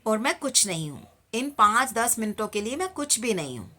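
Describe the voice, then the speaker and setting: Female meditation guide: higher-pitched, clear-edged bright tone, calm and soothing instructional delivery. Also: normal speed. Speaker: meditation teacher.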